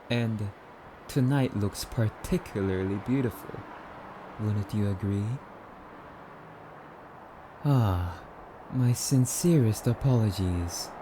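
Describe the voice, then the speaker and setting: A man playing a suave, courtly immortal vampire in an ASMR roleplay, speaking in formal, theatrical, rambling musings with drawn-out phrases. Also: medium pitch, background noise. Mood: courtly, rhapsodic.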